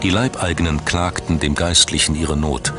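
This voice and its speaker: A man reading scripted documentary narration in a measured, dramatic storytelling manner. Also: a bass voice, steady tone. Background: background music.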